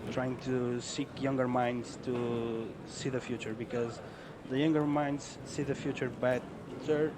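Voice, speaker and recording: Man, halting speech, noisy outdoors. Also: medium pitch, flat delivery. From Portugal. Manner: halting, repeats words, non-native phrasing. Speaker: interview guest, sales promoter. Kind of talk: casual on-camera interview.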